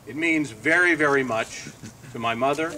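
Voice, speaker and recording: A man delivering a measured, formal prepared speech at an outdoor dedication ceremony. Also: medium pitch, bright, forceful tone. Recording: distant mic, faint outdoor noise.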